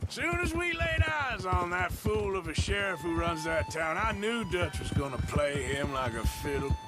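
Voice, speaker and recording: An actor playing a wry, gruff American outlaw gunslinger in his thirties in a video game, speaking with a drawl. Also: sits high, big pitch swings, normal speed. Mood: dry, easy. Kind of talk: video game cutscene acting. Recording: loud music and horse sounds behind. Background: music, horses, hoofbeats.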